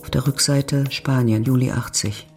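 A man reading a scripted literary narration for an audio drama in a measured, descriptive way. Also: medium pitch, steady tone. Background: music.

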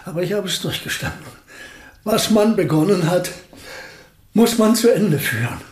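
An actor playing an elderly, absent-minded genius physicist in a German radio play, speaking in polite, pedantic, formal phrases. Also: male voice, sits high, expressive, moderate pace, roomy sound. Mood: pleased, modest. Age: older.

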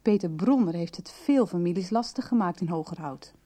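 Radio soap: calm, understanding female confidante and investigator, mellow tone, conversational storytelling. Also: on the low side, a little fast. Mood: engaged, explanatory. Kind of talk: Dutch radio soap acting.

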